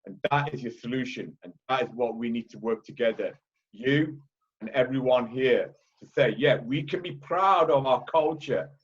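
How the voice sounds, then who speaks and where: A man with a Liverpool-tinged British accent speaking at a conference, loud and blunt, repeatedly asking "okay?". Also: medium pitch, normal speed, breathy edge, highs cut off. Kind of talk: heated panel talk.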